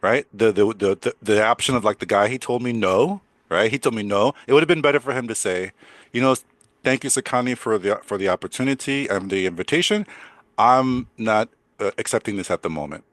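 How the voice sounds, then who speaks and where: An older man with a deep voice teaching English in a chatty way, repeating words and laughing often. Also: brisk, a bit bright, no echo. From United States. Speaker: English coach. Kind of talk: casual teaching conversation.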